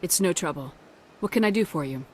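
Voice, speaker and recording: An actress playing a resolute, calm military commander in a video game, with a direct manner and a North American accent. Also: female voice, on the low side, fairly quick, a little hiss or hum, natural voice. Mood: reassuring. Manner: direct, composed. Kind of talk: cinematic video game acting.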